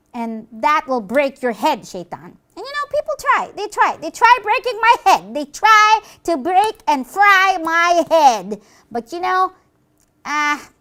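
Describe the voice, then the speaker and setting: A woman's voice: sits high, a bit bright, full character voice. A woman voicing a cocky, boastful little evil minion in a children's puppet show, with a theatrical, exasperated manner.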